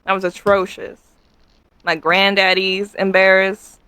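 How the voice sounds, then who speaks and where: A woman's voice: mid-range voice, flat delivery, measured pace, bright, forceful tone, clear, resonant tone, no room sound, poor audio. A woman with a Southern American accent, running a tabletop game as game master and joking with her players. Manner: laughing, joking.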